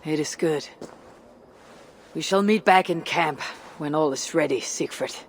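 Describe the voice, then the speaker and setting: Steady Viking warrior over noisy ambience. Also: male voice, fairly high pitch. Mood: steady, resolute. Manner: measured, formal archaic phrasing. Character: bold, steady Viking warrior leader, adult man. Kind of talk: dramatic video game acting. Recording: noisy game ambience behind the voice.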